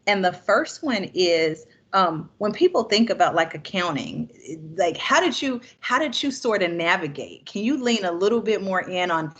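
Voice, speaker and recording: A woman's voice: medium pitch, very animated, normal speed, few pauses, a bit bright, slightly rough. A woman hosting a panel session on a video call, asking questions in a friendly, conversational way with upbeat asides. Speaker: session host.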